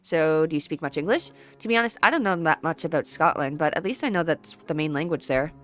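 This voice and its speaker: A woman's voice: deep voice, animated, quick pace, a bit bright, soft background music. A woman voicing an awkward, earnest teenage schoolboy in a visual novel, speaking in a hesitant, friendly way.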